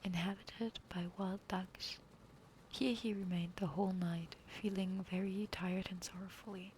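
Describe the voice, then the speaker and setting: A woman reading a fairy tale aloud in a soft whisper, pausing now and then to apologize. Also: mid-range voice, fairly even intonation, slight background noise. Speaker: reader.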